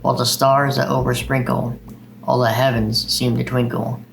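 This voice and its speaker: A man's voice: medium pitch, level tone, moderate pace, gravelly, slight background noise, rough recording. A man hosting a video of poetry readings. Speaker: video host reading poems.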